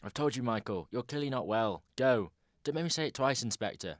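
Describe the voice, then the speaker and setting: An actor playing a stern police superior in a radio drama, authoritative and peremptory. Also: male voice, mid-range voice, expressive, a little fast, no room sound, studio quality, close to an everyday voice. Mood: stern, insistent.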